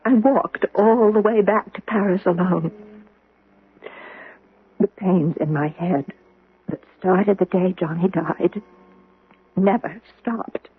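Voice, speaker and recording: An actress playing a naive, warm-hearted young artists' model in an earnest, emotive 1970s radio drama style. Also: female voice, on the low side, takes it slowly, pauses often, exaggerated voice. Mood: grieving, wistful. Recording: muffled, husky-sounding old radio recording.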